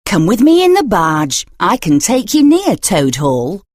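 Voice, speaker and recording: A woman's voice: mid-range voice, wildly swooping pitch, measured pace, a little mellow, dry recording, clean studio sound, pitched above the performer's own voice. A woman voicing a friendly, helpful barge woman in a storybook.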